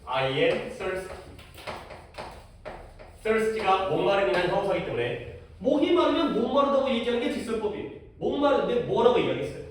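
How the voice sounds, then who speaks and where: A man teaches a grammar lesson in a relaxed, chatty way, asking his students quick questions. His voice sits high and moves around a lot. He is picked up from a distance in a classroom that rings with echo. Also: a little hiss or hum. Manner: casual explaining, asks students questions. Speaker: English teacher. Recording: echoey classroom from far off.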